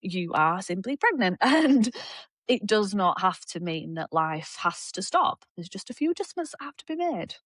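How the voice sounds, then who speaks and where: A young woman with a Yorkshire accent, a women's fitness coach, talking in an animated, laughing way as a podcast guest. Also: medium pitch, no room sound, clean studio sound. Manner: animated, laughs often, quick asides. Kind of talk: casual podcast interview.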